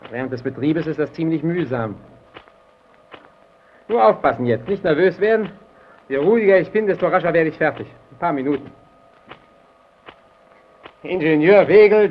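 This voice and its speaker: An actor playing an earnest, tense lighthouse engineer in an old radio drama, with a deliberate, self-coaxing delivery. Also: male voice, on the high side, expressive, lightly acted. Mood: hopeful, elated. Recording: dull, noisy vintage radio recording.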